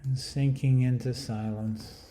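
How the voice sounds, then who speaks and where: A man leading a guided meditation in a calm, hushed, soothing manner with long pauses. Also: medium pitch, a little hiss or hum. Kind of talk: guided body scan meditation.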